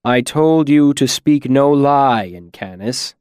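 A man voicing a stern, pitiless lord in a fantasy tale, speaking slowly and deliberately, with command. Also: medium pitch, a little mellow, smooth, no room sound, studio quality, natural voice. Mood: pitiless warning. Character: stern, pitiless lord, captor and judge of a demon.

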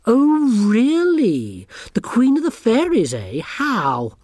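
A bossy, haughty teenage witch from a storybook, pitched up high and dripping with condescension, as a woman reader puts her on. She orders the other girls about with slow, lively sweeps of pitch. The reading is close and dry. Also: no echo, heavily acted. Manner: sneering, imperious, talks down.

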